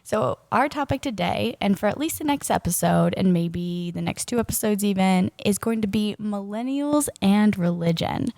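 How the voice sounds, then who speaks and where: A woman's voice: mid-range voice, animated, normal speed, creaky, few pauses, darkish tone, no room sound. A woman in her thirties co-hosting a podcast in casual chat, her voice still scratchy after a cold, talking with frequent ums and laughs. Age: 30s. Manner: chatty, laughs often, many ums. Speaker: podcast co-host.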